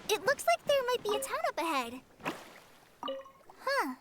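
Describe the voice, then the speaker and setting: A woman voicing a tiny, excitable childlike fairy companion in an animated game, squeaky and exclamatory. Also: a little slow, very noisy, exaggerated voice. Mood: chirpy. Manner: exclamatory, refers to self by name. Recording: music behind the voice.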